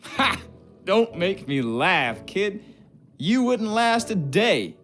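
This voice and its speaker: A domineering bosun in a period adventure game, taunting and commanding. His delivery is slow and swings widely in pitch, with music behind. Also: very animated, fairly bright tone, exaggerated voice. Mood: mocking, stern. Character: gruff, mocking, bossy bosun on a merchant ship. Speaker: actor in a narrative adventure game. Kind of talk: theatrical period drama game acting.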